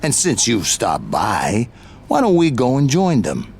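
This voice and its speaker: A man's voice: medium pitch, wildly swooping pitch, unhurried, vocal fry, darkish tone, slight background noise, heavily acted. A man playing a cocky, taunting mob henchman with streetwise, sarcastic talk in a video game cutscene. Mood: smug threat.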